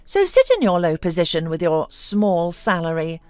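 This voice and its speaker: Casting: female narrator as scornful, domineering businesswoman wife for audio story, theatrical, swooping pitch, dry close sound. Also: sing-song, moderate pace, darkish tone, no echo, soft background music. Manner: mocking, theatrical, exclamatory.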